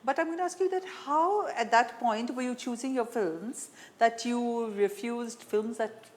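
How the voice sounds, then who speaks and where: A woman's voice: a little high, a little slow. A woman with an Indian accent hosting a conversational interview, feeling her way through questions and rephrasing as she goes. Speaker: interview host.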